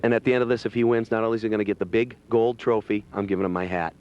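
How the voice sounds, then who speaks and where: A man hosting a motorsport show on location, talking in a jokey, wisecracking, high-energy way. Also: steady tone, very fast, lo-fi sound, loud music behind the voice. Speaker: show host.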